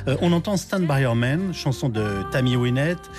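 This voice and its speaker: A man's voice: mid-range voice, expressive, normal speed, music in the background. French male radio host, chatty. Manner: conversational, with many fillers. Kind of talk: radio interview.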